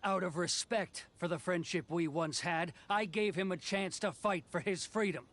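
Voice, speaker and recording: A man's voice: sits high, moderate pace, fairly bright tone. A man voicing a stern, proud swordsman officer in a video game, clipped and haughty in delivery. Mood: dismissive. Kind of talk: English video game voice acting.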